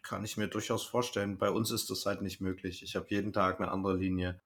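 A man's voice: low-pitched, little pitch movement, brisk, a bit bright. A man co-hosting a podcast, chatting casually as he reviews audio dramas. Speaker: podcast co-host; bus driver.

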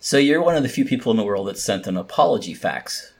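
A man's voice: lowish voice, very animated, fast talker. A man from the United States chatting and bantering casually as a podcast co-host, telling anecdotes in an informal way. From American, spent part of boyhood in England.

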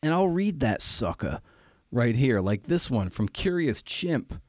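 A man's voice: mid-range voice, very animated, measured pace, dry recording, studio quality. A man hosting a podcast, chatting casually through an introduction in an irreverent, slangy, self-deprecating way. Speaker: podcast host.

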